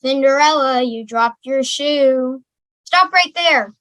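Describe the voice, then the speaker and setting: Casting: actress as officious guard, mocking, bright high voice, drawn-out delivery, dry sound. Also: female voice, dry recording. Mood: mocking, commanding. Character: officious, mocking security guard giving chase. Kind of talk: fan table read acting.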